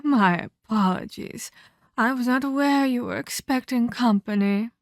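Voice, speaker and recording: A woman's voice: fairly high pitch, no echo, studio quality, lightly acted. A woman voicing a menacing female vampire captor in a scripted ASMR roleplay, theatrical and mockingly sweet as she scolds and condescends. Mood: mock-sweet menace. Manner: theatrical, mock-polite, slow deliberate scolding.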